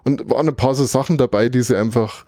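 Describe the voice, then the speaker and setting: A German man talks in a loose, conversational way. He recounts things thoughtfully, rambles a little and gropes for the right word mid-sentence. His voice is dark and mellow, and the sound is dry and close with no room around it. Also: medium pitch, fast talker, no room sound. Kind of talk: casual conversational recap.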